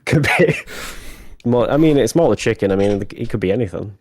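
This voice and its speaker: A man with a fairly low voice hosts a gaming stream. He chats casually at a quick pace. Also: animated, no room sound, clean studio sound. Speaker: event host.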